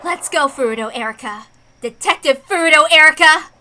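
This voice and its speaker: A woman's voice: sits high, lively intonation, brisk, pushed and bright, a little hiss or hum, exaggerated voice. A woman playing a brash, obsessive young female detective with theatrical, defiant emphasis in a dramatic visual novel reading.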